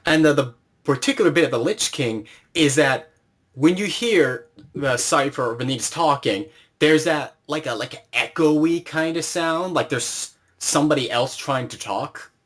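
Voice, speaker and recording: A man's voice: fairly high pitch, moderate pace. A man conducting a casual interview, explaining things informally as the interviewer. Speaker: interviewer and series creator.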